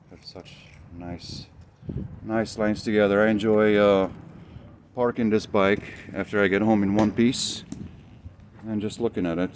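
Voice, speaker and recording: A man whose first language is Romanian, talking through his motorcycle build in a casual, hesitant vlog, pausing often with fillers. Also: low voice, background noise. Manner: hesitant, many fillers, thinking aloud.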